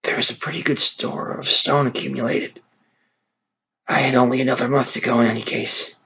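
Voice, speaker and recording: A man doing a gentle, worn-out old workhorse. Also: mid-range voice, little pitch movement, moderate pace, gravelly, a little hiss or hum, voice pushed up. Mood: resigned gentle.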